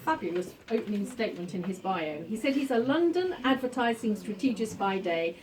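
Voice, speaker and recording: A woman informally introducing an author at a live event, halting as she searches for words. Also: medium pitch, big pitch swings, darkish tone. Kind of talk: informal spoken introduction to an audience. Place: bookshop book launch event. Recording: distant mic, faint room noise.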